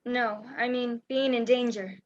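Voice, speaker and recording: Young woman playing a high-voiced, urgent lady spy. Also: steady tone, unhurried, close to an everyday voice. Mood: subdued. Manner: urgent, dramatic, pleading. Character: frightened, secretive woman spy, urgent and mysterious. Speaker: student actor in a school radio play. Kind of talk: amateur radio play acting. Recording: dull lo-fi home recording.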